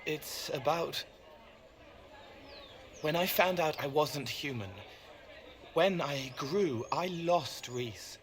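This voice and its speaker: A childlike, troubled young man who was once a spirit. He talks slowly and haltingly, with a plain, wistful tenderness, in video game cutscene style. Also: a little high. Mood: reflective, tender.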